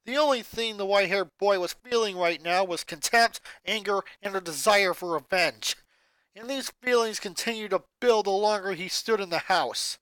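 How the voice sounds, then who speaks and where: A fan fiction reader narrating a story dramatically with an expressive delivery. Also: mid-range voice, dry recording, rough recording.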